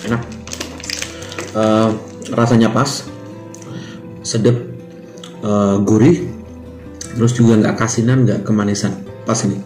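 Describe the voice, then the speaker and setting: A man's voice: lowish voice, fairly even intonation, slow pace, long pauses, a little mellow. Chatty man reviewing food in echoey room. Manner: chatty, describes food while eating. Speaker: food vlogger. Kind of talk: casual food review vlog. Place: small hotel room, indoors. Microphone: camera at table distance. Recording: echoey room with music behind. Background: background music.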